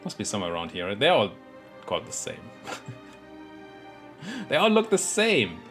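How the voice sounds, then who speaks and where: A man with a slightly hoarse voice from a cold talks through his strategy game in a low voice that swings up and down a lot. He mutters his thoughts aloud with fillers and restarts, and soft game music plays faintly behind him. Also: wildly swooping pitch, moderate pace. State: has a cold, voice a bit hoarse. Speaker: let's play gaming commentator. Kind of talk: casual live gameplay commentary.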